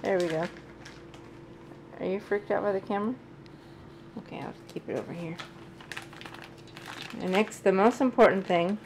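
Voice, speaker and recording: A woman's voice: mid-range voice, moderate pace, pauses often, darkish tone, a little hiss or hum, rough recording. A woman in her thirties chatting casually and playfully while cooking and cleaning in her home kitchen, recorded on a camera mic. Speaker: vlogger. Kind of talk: casual vlog chat.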